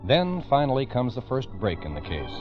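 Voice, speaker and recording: A man's voice: mid-range voice, huge pitch swings, moderate pace, over loud music. A man narrating a scripted old-time radio crime story in a formal, dramatic way. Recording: muffled old radio recording.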